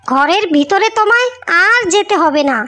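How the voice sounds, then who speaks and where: Lady doing super high snotty little sister bride, scolding, cartoon melodrama, music behind. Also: lively intonation, moderate pace, sharp tone, exaggerated voice. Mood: haughty, impatient. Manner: scolding, haughty. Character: selfish, insolent newlywed younger sister. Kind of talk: melodramatic cartoon fairy-tale acting.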